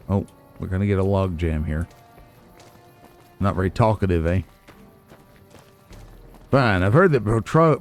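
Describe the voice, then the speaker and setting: A man's voice: sits low, darkish tone. A man hosting a video game playthrough, chatting casually and musing aloud with wry asides. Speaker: let's play host. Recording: game music behind the voice.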